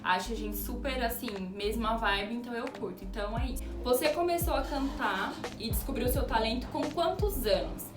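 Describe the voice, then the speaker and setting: Female vlogger, 20s, from Brazil; casual Q&A chat, slightly high voice; roomy echo, music bed. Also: normal speed, few pauses. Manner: chatty, answers viewer questions aloud. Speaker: youtuber. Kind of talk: casual Q&A vlog. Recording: roomy echo, distant mic, music behind. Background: background music.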